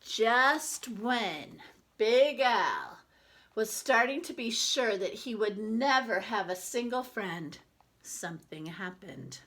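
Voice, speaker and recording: A woman with a high, bright voice reads a children's storybook aloud. She takes her time, leaning on words with deliberate, dramatic emphasis as a teacher does for young listeners. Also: expressive. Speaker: teacher reading a picture book aloud.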